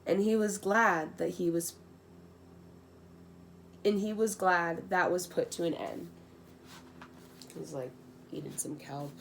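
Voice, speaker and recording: A woman's voice: lowish voice, fairly even intonation, slow pace, vocal fry, long pauses, a little hiss or hum. A young adult woman reading a rhyming children's storybook aloud, breaking into slangy, casual, giggly asides.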